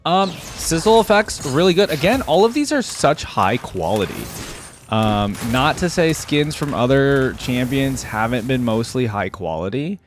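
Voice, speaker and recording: A man's voice: medium pitch, lively intonation, a little slow, faint music behind. A man giving casual reaction commentary on video game skins, rambling and interjecting as he thinks aloud.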